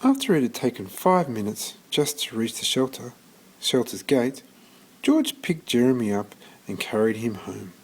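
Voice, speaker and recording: A man reading a children's story aloud in an expressive storytelling manner, occasionally stumbling and restarting. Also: mid-range voice, moderate pace, slightly rough, low-quality recording. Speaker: storybook reader.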